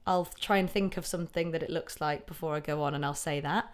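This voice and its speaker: A woman in her thirties with a British accent and a slightly low voice. She talks casually and reflectively, like a comedian being interviewed about her craft. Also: fast talker, clear, resonant tone. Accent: British English. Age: 30s. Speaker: stand-up comedian, podcast guest.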